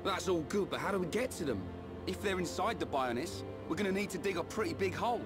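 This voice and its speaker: A man's voice: high-pitched, expressive, quick pace, music in the background. A man with a British accent voicing a brash, hot-headed young fighter in a video game. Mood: blunt, casual.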